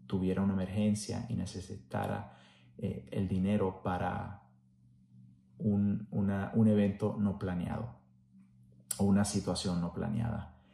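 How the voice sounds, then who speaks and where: Deep, creaky-voiced man. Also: mellow. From United States. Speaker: employee volunteer presenter. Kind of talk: personal introduction and anecdote to camera. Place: small room at home, indoors. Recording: echoey room.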